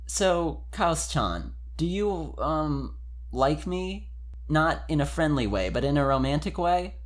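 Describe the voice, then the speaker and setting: Man as an earnest anime girl, slightly raised pitch. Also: very animated, slow. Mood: nervous. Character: earnest anime girl nervously confessing her love. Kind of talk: dramatic fan fiction reading.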